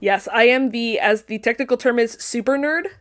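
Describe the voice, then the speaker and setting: A woman's voice: fairly high pitch, normal speed, a bit bright. A talkative woman chatting casually as a podcast guest, with a playful, self-deprecating way of talking. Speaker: podcast guest, pop culture commentator. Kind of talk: casual chat.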